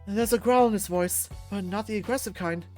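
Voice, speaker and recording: A woman's voice: medium pitch, a little fast, darkish tone, faint music behind. A woman reading a visual novel aloud as a narrator.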